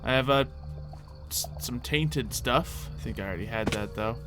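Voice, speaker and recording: A man talks through a video game in a casual, mild voice at an average pitch. He thinks out loud haltingly, with game music clearly playing behind him. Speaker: gamer playing a Minecraft roleplay series. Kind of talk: casual gameplay commentary.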